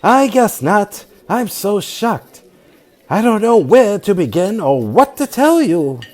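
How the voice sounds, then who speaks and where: Woman playing a slow, flustered radio-drama housewife. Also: medium pitch, very animated, darkish tone. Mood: shaken, hesitant. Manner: hesitant, apologetic, melodramatic. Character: polite, flustered housewife hostess hiding a crime. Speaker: actor. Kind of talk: old-time radio drama acting.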